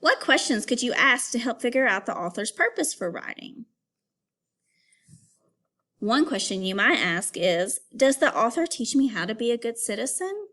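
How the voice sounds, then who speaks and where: A woman teacher reading an informational text aloud to young children, pausing to give instructions and put questions to them. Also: a little high, big pitch swings, sharp tone.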